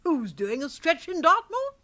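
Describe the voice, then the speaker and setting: Bossy upper-class English aunt, high-pitched. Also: female voice, huge pitch swings, exaggerated voice. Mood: melodramatic despair. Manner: brisk, forceful, melodramatic. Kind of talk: radio comedy drama acting.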